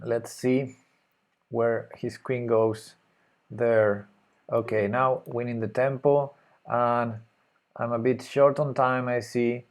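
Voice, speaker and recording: A man with a Spanish accent plays chess live and talks through his moves as he goes. His voice sits at a middling pitch, and he mutters and hesitates while he thinks, often trailing off into quiet asides. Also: level tone, slow. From Spain. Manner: thinking aloud, hesitant, muttering move names. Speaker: chess streamer.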